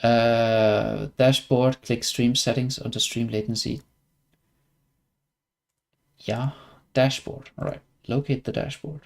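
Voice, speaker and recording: A man's voice: medium pitch, steady tone, slow, creaky, long pauses. A man from Denmark with a Danish accent, chatting casually and wryly as he comments on his livestreamed gameplay. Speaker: game streamer.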